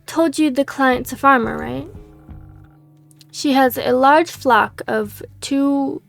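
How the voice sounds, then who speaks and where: A woman's voice: sits high, slow, vocal fry, soft background music, exaggerated voice. A woman reading the lines of a female monster hunter adventurer in her own plain voice, slightly losing her voice. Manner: reads dialogue aloud in her own plain voice.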